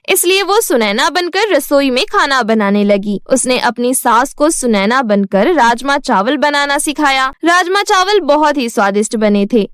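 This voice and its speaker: Female storyteller, high voice, scripted cartoon narration, very dry studio-like sound. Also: no echo.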